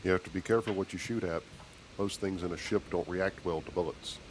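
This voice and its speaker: Male actor voicing commanding space captain, deep voice, measured, dry, authoritative, video game dialogue, background noise. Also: fairly even intonation, lightly acted, poor audio. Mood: skeptical. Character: steady, commanding male starship captain, dryly skeptical, protective.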